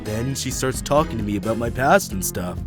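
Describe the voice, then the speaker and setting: A man's voice: lowish voice, animated, moderate pace, close to an everyday voice. A man voicing a spacey, laid-back male yak witness with a drawling, dreamy way of talking. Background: background music.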